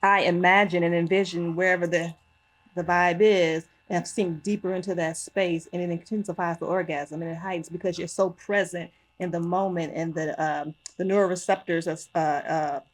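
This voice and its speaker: Wellness expert lady on a podcast, calm, explains stuff, slightly low voice. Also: normal speed. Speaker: holistic wellness expert guest. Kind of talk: casual chat.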